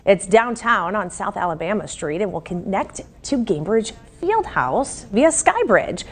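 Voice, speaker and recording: A woman's voice: medium pitch, big pitch swings, unhurried, slightly rough. An American woman reading local news as an anchor in a scripted newscast style.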